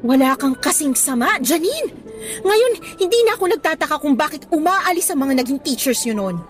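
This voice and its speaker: A woman's voice: high-pitched, lively intonation, moderate pace, soft background music. An actress playing a principled young woman teacher with a Filipino accent in a Tagalog radio drama, earnest and scolding, switching into English at times. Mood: indignant, firm.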